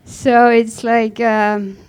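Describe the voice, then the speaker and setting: Clear-voiced woman with an Estonian accent. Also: a little high, flat delivery, drawn-out words, darkish tone. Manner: blunt, informal, non-native phrasing. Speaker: panel guest, professional snowboarder. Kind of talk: conversational personal account on a panel.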